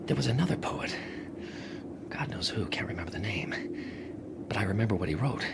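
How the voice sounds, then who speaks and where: Radio drama, very noisy recording: an obsessed, haunted man, deep-voiced, brooding, prone to whispers. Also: lively intonation, vocal fry. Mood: searching, hushed. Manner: brooding, halting, sighing, dropping into whispers. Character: haunted, obsessed man writer fearing the living wind.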